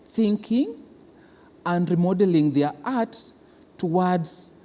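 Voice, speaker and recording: A man with a Kenyan accent explaining a programme in an interview as an official, deliberate and repeating words for emphasis. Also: high voice, animated, dark tone. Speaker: cultural centre official being interviewed. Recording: reverberant hall.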